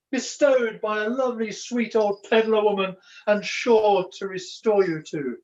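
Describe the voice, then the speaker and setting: A woman playing a hapless, earnest young jester in a pantomime, theatrical and sheepish, on a video call. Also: on the low side. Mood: wheedling. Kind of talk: pantomime acting. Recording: dull lo-fi video-call recording.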